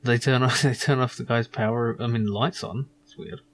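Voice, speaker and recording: A man's voice: medium pitch, fairly even intonation, normal speed, a little hiss or hum. A man giving loose, rambling commentary as he plays a video game and reads its text aloud. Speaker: video game let's player.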